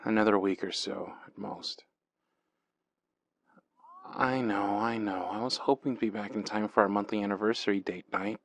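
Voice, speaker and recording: A man speaking as a boyfriend in an ASMR roleplay, soft, intimate and teasing, close to the microphone. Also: lowish voice, dry recording.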